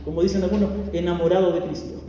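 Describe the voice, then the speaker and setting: A man with a Chilean accent preaches in a high-pitched, warm, mellow voice. He keeps his sermon chatty and light, throwing rhetorical questions at the pews. The sound reaches the mic from a distance, with a long, echoey church-hall reverb. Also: fairly even intonation, fast, lo-fi sound, a little hiss or hum. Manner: chatty, joking, full of rhetorical questions to the congregation. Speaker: pastor. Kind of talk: conversational sermon.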